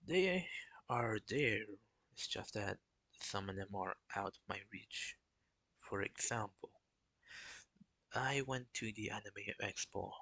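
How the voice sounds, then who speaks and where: A young man with a low, gravelly voice talks alone on his stream. His delivery is flat and halting, full of restarts. The tone is bright and close, with a dry sound. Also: dry recording, rough recording. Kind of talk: casual solo stream talk.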